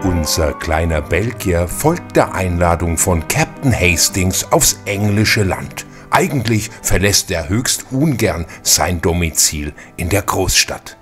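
Very deep, unhurried male narrator. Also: lively intonation, a bit bright, soft background music. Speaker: narrator, host. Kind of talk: dramatic scripted narration.

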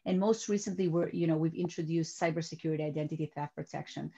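A woman's voice: deep voice, steady tone, fast, creaky, mellow, husky. A woman in her forties, from Peru, talking as a guest on a video call from her home office, repeating words as she builds her sentences. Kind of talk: interview over video call. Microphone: webcam on video call. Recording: dull lo-fi video call audio.

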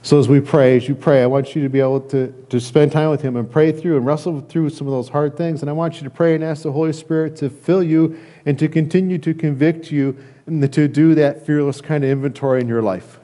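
Mellow middle-aged American man preaching. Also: fairly high pitch, fairly even intonation, brisk. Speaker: pastor. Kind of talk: sermon. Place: church sanctuary, indoors at a lectern.

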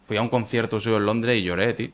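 A man's voice: lowish voice, steady tone, fast. A man in his thirties with an Andalusian Málaga accent, chatting casually and telling stories in slangy, emphatic bursts on a podcast. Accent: Andalusian Spanish (Málaga). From Málaga, Spain. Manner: slangy, emphatic, addresses listeners as bro. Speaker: podcast guest, rapper and streamer. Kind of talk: casual chat, storytelling. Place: small indoor podcast studio. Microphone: podcast microphone on table.

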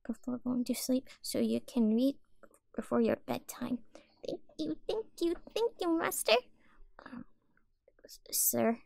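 High-voiced, timid, childlike catgirl. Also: gravelly, dry recording, clean studio sound, heavily acted. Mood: grateful, shy. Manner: hesitant, stammering, meek. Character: timid, childlike catgirl, sweet, anxious, eager to please. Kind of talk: roleplay audio acting.

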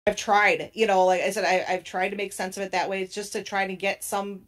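Woman panelist, mid pitch, earnest and emphatic. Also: flat delivery, fairly quick. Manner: earnest, emphatic, laughs between points. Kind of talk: casual panel discussion.

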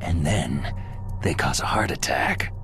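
Game soldier lead: gruff, stoic, gravel-voiced, bottom-of-the-range pitch, played over clear music. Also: male voice, animated, unhurried, heavily acted. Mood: grim. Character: gruff, stoic special forces soldier hero. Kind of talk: dramatic video game voice acting. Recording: music under the voice.

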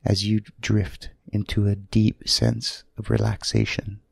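A man leading a guided sleep meditation in a soothing, gentle manner, leaving long pauses between short phrases. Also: on the low side, completely flat delivery, gravelly, husky, dry recording.

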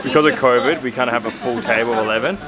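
A man's voice: medium pitch, rapid-fire, a loud crowd, rough recording. A young man hosting a sailing vlog, chatting casually and jokingly to a handheld camera outdoors. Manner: laid-back and jokey, calls people mate. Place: outdoors on a marina and city streets. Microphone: handheld camera at arm's length.

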